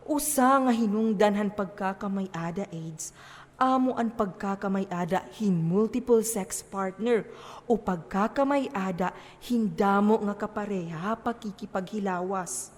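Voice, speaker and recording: Ringing through a reverberant hall, an actress plays a frank, commanding moral adviser. She has a mid-pitched female voice and an Eastern Visayan Filipino accent, and lectures emphatically as in a stage play. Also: natural voice. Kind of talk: declamatory amateur stage play acting. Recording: long echo of a hall.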